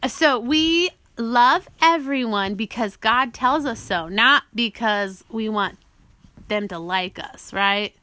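A woman narrating an audiobook she wrote, pausing to prompt and question as she teaches a child. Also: fairly high pitch, pushed and bright. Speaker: author reading own book aloud and parent.

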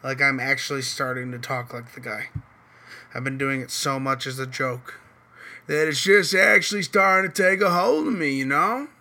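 Hoarse man rambling on a podcast. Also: mid-range voice, moderate pace, bright, forceful tone. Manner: loose, rambling, swears casually. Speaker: podcast host. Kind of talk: casual chat.

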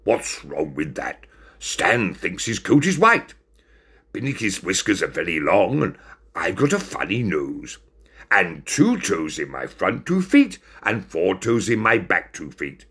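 A sensible, kindly, slightly exasperated tapir from a storybook, read by a man. He reasons emphatically and slowly, in a faintly husky voice. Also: deep voice, huge pitch swings, fairly bright tone, heavily acted. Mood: reassuring. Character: sensible, exasperated but kind male tapir.

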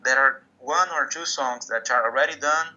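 Casting: bright, sharp-toned male musician, Portuguese first language, careful halting English, dull lo-fi video call sound. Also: mid-range voice. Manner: hesitant, restarts often, searching for English words. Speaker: musician guest. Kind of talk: interview conversation.

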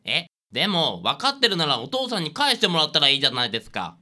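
Female detective's assistant, radio drama, played by a woman: low, bright-edged, composed. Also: very animated, fast, full character voice. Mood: matter-of-fact.

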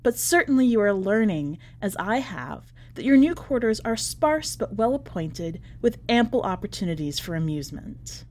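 A woman's voice: medium pitch, sing-song, moderate pace, few pauses, a little mellow. A woman voicing an imprisoned letter writer with brittle genteel cheer and bitter irony, in mannered, over-polite phrasing, for a story reading. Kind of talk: dramatic short story reading.

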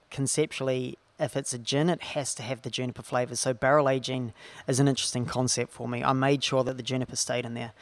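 A man's voice: medium pitch, vocal fry, no echo. A young man with a New Zealand accent, co-founder of a distillery, chatting at length in an interview and stringing ideas together with "so" and "I guess.". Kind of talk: relaxed interview talk.

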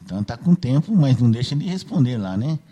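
A man's voice: medium pitch, big pitch swings, fast, mellow, husky. A man hosting a casual radio chat, tagging nearly every phrase with "né" to seek agreement. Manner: chatty, filler-heavy, seeks agreement with "né". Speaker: radio host.

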